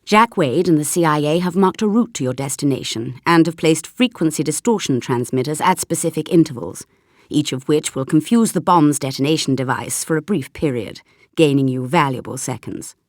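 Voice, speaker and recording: An older British spy chief in a video game. Her voice is low and authoritative, recorded very dry. She gives orders in a formal, measured, stern manner. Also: lively intonation, no echo. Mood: grave, commanding. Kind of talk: scripted video game mission briefing.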